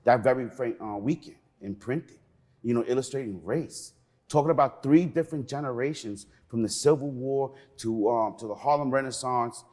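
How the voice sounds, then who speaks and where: A man's voice: medium pitch, moderate pace, darkish tone. A middle-aged man from Peru, raised in New York, speaking as a guest in an interview, earnest and passionate. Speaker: museum cultural program manager, guest.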